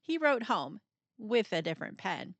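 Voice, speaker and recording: A woman reading a children's picture book aloud in an expressive, playful storytelling style, with dramatic pauses and emphasis. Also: mid-range voice, no echo.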